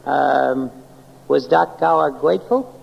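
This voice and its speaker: An actor playing a kindly, curious male listener from heaven in a 1940s radio drama, with a creaky voice, gently prompting. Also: mid-range voice, takes it slowly, full character voice. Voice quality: creaky, gravelly. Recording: muffled old radio, loud music behind.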